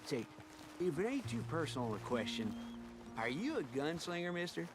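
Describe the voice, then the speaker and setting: Folksy, excitable rural American ranch worker. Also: male voice, a little high, normal speed, very noisy. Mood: curious, friendly. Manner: folksy, hesitant, inquisitive. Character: friendly, chatty, deferential rural ranch worker. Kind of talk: naturalistic video game acting. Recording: music behind the voice.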